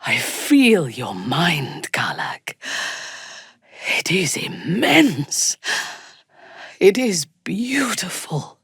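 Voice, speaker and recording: An actress voicing a ruthless, imperious dark elf warband commander in a video game, with a commanding, deliberate and menacing delivery. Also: female voice, fairly low pitch, very animated, breathy edge, dry recording, clean studio sound, exaggerated voice. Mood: awed. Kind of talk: dramatic video game voice acting.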